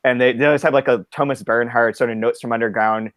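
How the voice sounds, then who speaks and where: A man's voice: mid-range voice, fairly even intonation, quick pace, fairly bright tone, no room sound. A male podcast co-host talks about books in an eager, casual way, filling his sentences with "like." His voice sits in a middle range, and the recording is dry and close. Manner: enthusiastic, lots of "like" fillers.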